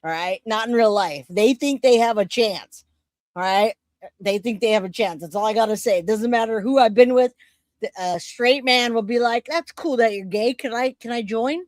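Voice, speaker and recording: Chatty, animated woman streamer, dry close sound. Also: a little high, normal speed, no room sound. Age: 40s. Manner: animated, joking, slangy. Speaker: livestream host reacting to news. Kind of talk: casual chat with viewers.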